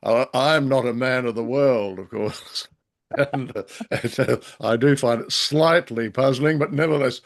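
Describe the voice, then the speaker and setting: A man co-hosting an unscripted discussion, speaking in hesitant, halting phrases with a polite, wryly self-deprecating manner. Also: medium pitch. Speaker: podcast co-host. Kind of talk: unscripted discussion of old-time radio drama.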